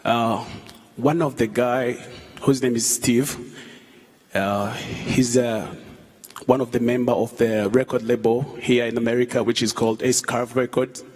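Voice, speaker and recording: A Rwandan man in his thirties, a musician speaking on stage at a public event, halting, with fillers and repeated words. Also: mid-range voice, steady tone, a little mellow. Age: 30s. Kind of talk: onstage talk at a public event. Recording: long hall reverb.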